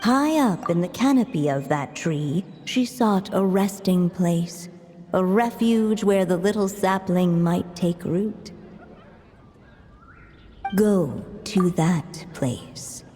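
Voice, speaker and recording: A woman playing a mysterious, solemn storyteller in a game, reciting with a measured storybook cadence. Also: fairly low pitch, dark tone. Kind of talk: scripted fairy-tale narration in a game. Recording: long cavernous reverb. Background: music.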